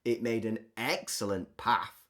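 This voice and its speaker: A woman reading a children's storybook aloud as an expressive narrator. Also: very deep voice, a little slow. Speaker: storybook reader.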